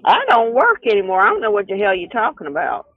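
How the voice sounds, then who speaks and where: A woman's voice: mid-range voice, huge pitch swings, fast talker, breathy edge, dry recording. A woman with a Southern US accent on the phone, talking bluntly with irritated disbelief. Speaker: prank call target. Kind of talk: casual phone talk. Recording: muffled telephone call.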